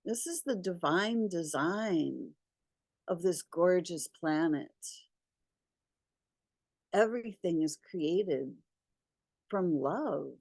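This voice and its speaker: A woman leading a guided spiritual meditation, speaking deliberately in short phrases with long pauses. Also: lowish voice, expressive, creaky, darkish tone, no room sound, clean studio sound.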